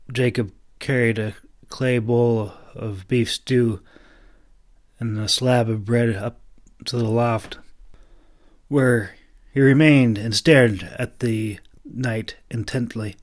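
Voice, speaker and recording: A man narrating a fantasy audiobook, reading scripted prose with some halting stumbles and restarts. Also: medium pitch, fairly even intonation.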